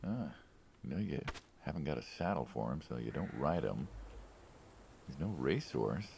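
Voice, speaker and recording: Deep, gravelly American city-slicker mobster. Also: male voice, very animated, normal speed, darkish tone, a little hiss or hum, full character voice. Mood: teasing, puzzled. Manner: wry, conversational, inquisitive. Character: teasing city-slicker mobster, male friend, chatty, probing. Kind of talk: naturalistic game acting.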